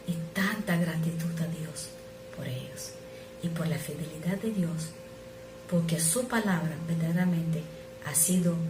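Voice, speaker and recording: A middle-aged woman who is not a native speaker, preaching an earnest, measured sermon that sometimes drops to soft asides. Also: deep voice. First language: not Spanish. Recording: echoey room with noise.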